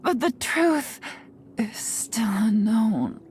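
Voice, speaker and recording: An actress playing a cool, sly young woman intelligence agent in a video game, composed and teasing in a knowing, unhurried way. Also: on the high side, expressive, darkish tone, a little hiss or hum. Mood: weakened, defeated. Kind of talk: video game character voice lines. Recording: studio voice over background music. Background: music.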